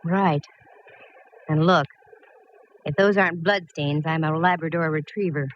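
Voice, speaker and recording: A muffled old radio recording with faint hiss carries a wry, plucky woman private eye. Her voice sits fairly low, and she wisecracks through her detective story in 1940s radio style. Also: fairly even intonation, unhurried. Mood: brisk, determined. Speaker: actress narrating and playing lead.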